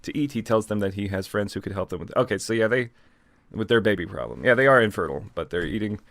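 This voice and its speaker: A man's voice: on the low side, animated, fast, a bit bright, dry recording. A man casually reading aloud and riffing on it with joking, sarcastic asides, as a host. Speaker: host reading a fan fiction aloud with commentary.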